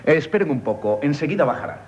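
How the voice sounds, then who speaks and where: An actor playing a deferential, ceremonious waiter at an inn in a radio theatre comedy. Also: male voice, fairly high pitch, sing-song, fast talker, dull audio. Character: obsequious, formal male inn waiter.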